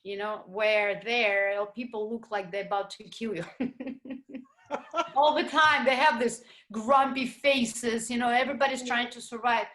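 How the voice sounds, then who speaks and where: A middle-aged woman, speaking in a slightly high voice. She tells her story as an interview guest in an animated way. She acts out what others said to her. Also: measured pace. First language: Russian. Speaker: guest, ballroom dancer. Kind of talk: casual interview chat.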